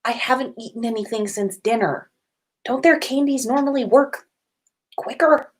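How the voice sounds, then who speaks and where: A woman's voice: a little high, normal speed, breathy edge, pitched above the performer's own voice. A woman voicing an exasperated, sarcastic teenage wizard boy in a fan fiction story reading. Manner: indignant, loud, sarcastic.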